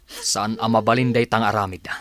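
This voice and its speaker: A man playing a concerned father questioning his children in an expressive way, in a radio comedy drama. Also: on the low side, normal speed, lightly acted.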